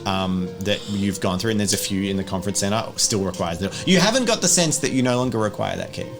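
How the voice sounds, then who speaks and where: Man, game master, fry-edged delivery, offhand explaining, tabletop roleplay narration, music behind. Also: fairly low pitch, expressive, fast talker. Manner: casual, improvised explaining. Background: background music.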